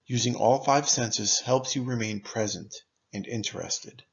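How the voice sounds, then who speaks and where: A man narrating a scripted guided meditation in a measured, instructive manner, pausing deliberately between phrases. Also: medium pitch, gravelly, highs cut off.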